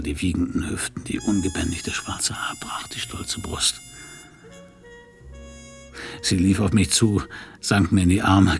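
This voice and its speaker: Hard-boiled private eye, laconic noir radio narration, lowish gravelly voice, music underneath. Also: male voice, heavily acted. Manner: terse, laconic. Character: world-weary male private detective, hard-boiled narrator. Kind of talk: German radio crime drama, first-person noir narration and dialogue.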